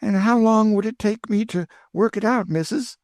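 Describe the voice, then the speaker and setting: A man's voice: sits high, moderate pace, darkish tone, no room sound, clean studio sound, voice pushed up, natural voice. A man voicing an eager, chatty older woman cook with an in a storybook reading. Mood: delighted. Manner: exclaiming, folksy, eager.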